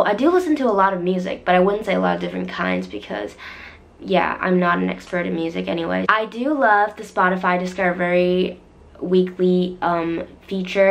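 A woman's voice: on the low side, gravelly. Chatty young woman, voice a bit worn. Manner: chatty, filler-heavy, often restarts and corrects herself. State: voice a bit deeper than usual after losing it. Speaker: vlogger. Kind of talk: casual chat to camera. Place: small bedroom, indoors. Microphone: camera in front at desk distance.

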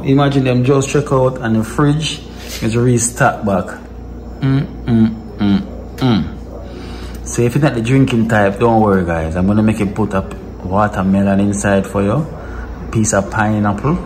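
A man's voice: lowish voice, background noise. A man giving a casual walkthrough of a guest villa, talking straight to his viewers. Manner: relaxed, repeats himself, speaks to viewers as guys. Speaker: vlogger touring a guest villa.

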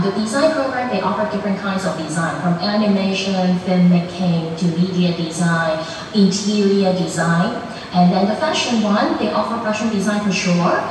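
A woman's voice: medium pitch, far from the mic, background noise. Hong Kong woman presenting, echoey room. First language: Cantonese. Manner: hesitant, many ums and restarts. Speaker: university admissions guest speaker. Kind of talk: informal presentation to parents. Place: classroom with large screen. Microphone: far camera.

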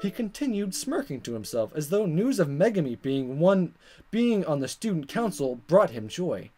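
A man's voice: a little high, sing-song, moderate pace, mellow. A man reading a visual novel aloud in a dramatic way, sometimes stumbling over words and repeating them. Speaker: gaming video maker. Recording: guitar music behind. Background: game music with plucked guitar.

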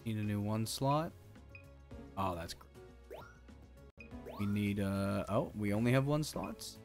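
A man's voice: fairly low pitch, lively intonation, slow pace, darkish tone. A man in his thirties giving casual, playful, slangy video game commentary alongside a co-host. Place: indoor streaming setup. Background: game music.

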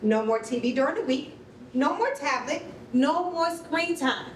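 A woman plays a stressed, strict mother with a high voice. She performs in a staged reading, heard from a little distance in an echoing room with faint noise. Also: lively intonation, moderate pace. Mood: exasperated. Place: concrete rehearsal hall, live staged reading. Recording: roomy, distant mic, noisy rumble.

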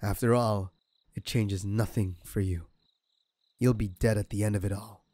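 A man's voice: fairly low pitch, steady tone, moderate pace, mellow, dry recording, close to an everyday voice. A man playing a smugly menacing, vengeful crime villain in an audio roleplay, with a mocking, theatrical delivery. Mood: bitter, menacing. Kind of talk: scripted ASMR audio roleplay.

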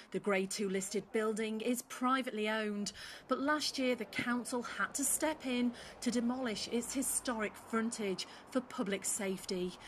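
A woman reads a scripted news report in a fairly high voice. She sounds like a reporter narrating a package, with faint noise on the recording. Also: fairly bright tone.

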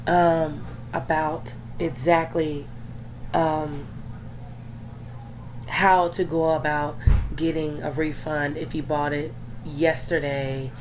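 A young woman, hoarse from being sick, chatting in a rambling, casual way with lots of fillers while talking over a screen recording. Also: low-pitched, a little mellow. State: hoarse from illness. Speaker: gaming YouTuber. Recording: faint background noise.

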